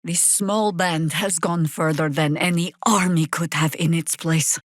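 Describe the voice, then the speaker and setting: Weary older druid war leader from a fantasy game, lowish voice, dry and wry, close dry sound. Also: female voice, steady tone, measured pace, dry recording. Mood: reflective, wry. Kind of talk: video game character acting.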